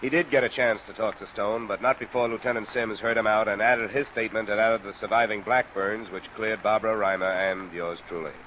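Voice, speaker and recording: An actor playing a tough, persistent insurance investigator in a 1950s American radio detective drama, terse and matter-of-fact. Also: male voice, fairly low pitch, fairly even intonation, brisk, hardly any pauses, close to an everyday voice. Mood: dry, businesslike. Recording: old radio recording under rain noise.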